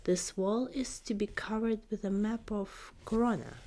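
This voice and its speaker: A woman voicing a kindly, patient royal governess in a soft, soothing whispered storybook reading. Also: mid-range voice, fairly even intonation, unhurried, lightly acted. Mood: polite, firm.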